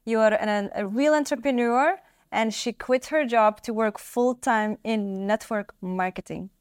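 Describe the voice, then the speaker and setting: A woman's voice: fairly high pitch, measured pace, smooth, dry recording, studio quality. A woman hosting a podcast, interviewing her guest in a casual, conversational way. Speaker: podcast host.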